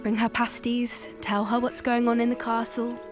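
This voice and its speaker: A woman voicing a kind young student who looks after a rescued creature, in a fantasy game. She speaks earnestly and confides softly, in a fairly high voice with clear music underneath. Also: little pitch movement, normal speed, natural voice. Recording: game audio with music behind.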